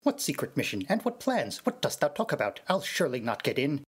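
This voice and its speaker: A man's voice: medium pitch, sing-song, brisk, breathy edge. A man playing a prissy, pompous protocol droid who scolds indignantly in archaic verse, in a Shakespearean dramatic reading. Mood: indignant, fussy.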